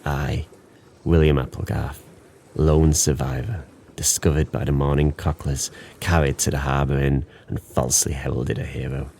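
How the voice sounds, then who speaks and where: A very low voice belongs to a guilt-ridden, hard-drinking ex-sailor. He speaks in bleak, theatrical, poetic phrases, as in a radio play. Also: little pitch movement, moderate pace, gravelly, darkish tone, slight background noise. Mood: haunted, solemn. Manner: brooding, poetic, theatrical monologue. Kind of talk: audio drama acting.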